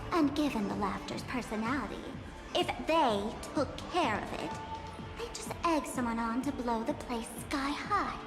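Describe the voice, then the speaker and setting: A woman's voice: high-pitched, expressive, normal speed. A woman voicing a playful, sly, teasing female trickster in a video game, with theatrical delivery. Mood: sly, explaining. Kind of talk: video game character acting. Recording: echoey hall reverb, loud music behind. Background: music.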